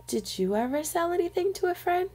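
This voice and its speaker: A woman reading a picture book aloud to young children in an expressive storyteller's manner. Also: very high-pitched, fairly quick, soft background music.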